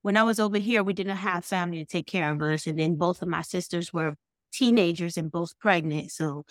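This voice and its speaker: Female interview guest from the Bahamas, lowish pitch, personal storytelling, dry sound. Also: a little fast, no echo. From Bahamas, moved to the United States at seven. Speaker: podcast guest, foster youth advocate.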